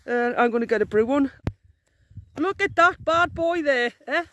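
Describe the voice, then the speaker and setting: A woman's voice: sits high, expressive, normal speed, a bit bright, a little hiss or hum. A woman, chatting and teasing as she films her camping trip on open moorland with a handheld phone. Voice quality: gruff. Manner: chatty, teasing, laughs often. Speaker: outdoor vlogger. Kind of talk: casual handheld camping vlog. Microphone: phone camera held by the speaker.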